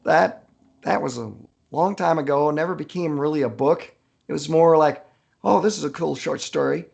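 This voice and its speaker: A man with a gravelly, husky voice chatting casually as a guest author, folksy and good-humored in his storytelling. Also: on the high side, normal speed, darkish tone.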